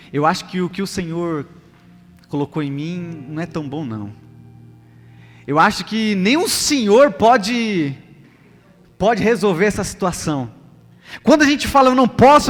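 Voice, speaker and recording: A man's voice: high-pitched, fairly bright tone. A male pastor with a Brazilian accent preaching a sermon to a congregation, emphatic and repetitive, putting rhetorical questions to his listeners. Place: large church hall, preaching live. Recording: long hall reverb, music underneath.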